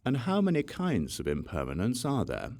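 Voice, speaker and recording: A man reading a religious text aloud as an audiobook, in a measured, solemn and emphatic way. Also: mid-range voice, wildly swooping pitch, darkish tone. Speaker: audiobook reader.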